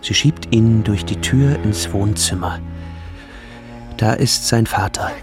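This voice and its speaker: An actor playing a grave narrator who reads out a screenplay in clipped, deliberate stage directions in a radio play. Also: male voice, lowish voice, over music. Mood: somber, measured.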